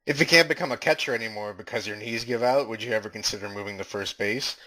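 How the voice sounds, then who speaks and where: A man's voice: fairly low pitch, fast talker, pushed and bright, low-quality recording. A man acting as an interviewer in a comedy skit, asking earnest, formal questions. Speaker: mock interviewer. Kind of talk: improvised mock interview.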